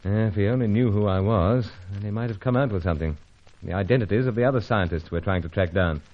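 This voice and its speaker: Actor as deep-voiced suave secret agent. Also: male voice, quick pace, natural voice. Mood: earnest. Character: suave British male secret agent, flirtatious and duty-minded. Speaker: hero and narrator. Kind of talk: old-time radio adventure serial acting. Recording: dull vintage radio recording.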